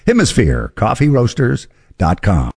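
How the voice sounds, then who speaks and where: A man's voice: wildly swooping pitch, measured pace, creaky, a little mellow, husky. A man hosting a radio show, giving a casual, upbeat sponsor read. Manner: upbeat, conversational pitch. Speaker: radio host reading an ad.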